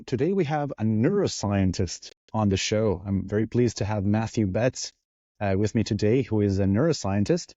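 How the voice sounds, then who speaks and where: A man's voice: on the low side, animated, moderate pace, warm tone, no echo. A man hosting an interview show, friendly and enthusiastic as he asks his guest questions. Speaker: podcast host. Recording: dull lo-fi audio.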